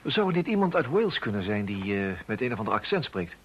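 A brisk private detective in a radio drama. He talks fast with a lively, rising and falling melody and a husky edge. His manner is keen and charming, on a dull old recording. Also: on the low side. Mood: inquisitive. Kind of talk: old radio play dialogue.

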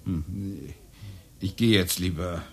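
A man's voice: low-pitched, slow. An actor playing an awkward, hesitant grown son visiting his mother in a radio play.

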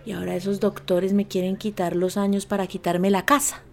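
A woman's voice: mid-range voice, flat delivery, fairly quick, vocal fry, close to an everyday voice. A woman with a Colombian accent playing brusque, combative characters theatrically in a radio play. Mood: indignant. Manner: emphatic, theatrical. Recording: music running under the voice.

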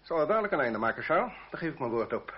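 Impulsive, very husky aristocrat. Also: male voice, fairly high pitch, sing-song, very fast. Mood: resolute, disdainful. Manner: fervent, theatrical. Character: impulsive, lovestruck aristocrat, arrogant towards his mistress. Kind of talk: Dutch radio play acting. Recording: muffled old radio recording.

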